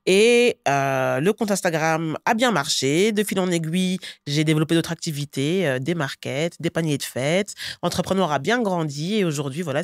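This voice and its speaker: A woman's voice: fairly low pitch, dry recording, clean studio sound. Talkative woman in her thirties. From Belgium. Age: 30s. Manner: lively and talkative, frequent "euh" fillers. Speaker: guest entrepreneur. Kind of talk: podcast interview. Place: bright studio set, seated on a sofa. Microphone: studio mic on a boom arm.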